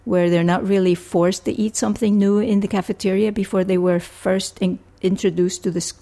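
An older woman from Rico, a family physician answering interview questions in a measured, thoughtful way. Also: medium pitch, flat delivery, mellow. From Puerto Rico. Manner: measured, thoughtful, with frequent restarts.

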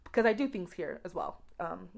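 A woman from the United States giving conversational interview answers as a guest, expressive and repeating words for emphasis. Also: fairly low pitch, fairly quick, gravelly. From grew up in the United States. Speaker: podcast guest, education researcher.

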